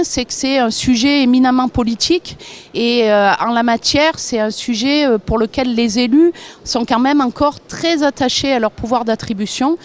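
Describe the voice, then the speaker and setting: A woman with a fairly high voice speaks as a local official explaining her work. Her phrasing is measured and somewhat hesitant, with drawn-out pauses as she sets out each point. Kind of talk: explanatory interview testimonial, semi-prepared.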